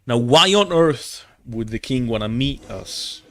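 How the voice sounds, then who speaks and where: A man's voice: medium pitch, animated, unhurried. A man giving casual, slangy live game commentary to his chat in an offhand way. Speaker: game streamer. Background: faint music.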